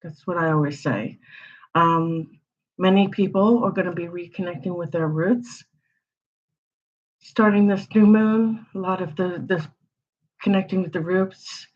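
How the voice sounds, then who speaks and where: A woman's voice: fairly low pitch, darkish tone. A woman hosting an astrology channel, giving a casual, rambling forecast full of rhetorical questions. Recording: slightly dull lo-fi audio.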